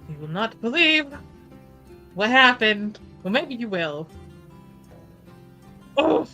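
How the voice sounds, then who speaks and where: Bright-voiced woman speaking slowly at the game table. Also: fairly high pitch, sing-song, soft background music. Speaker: player in a tabletop roleplaying game. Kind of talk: improvised narration and in-character roleplay.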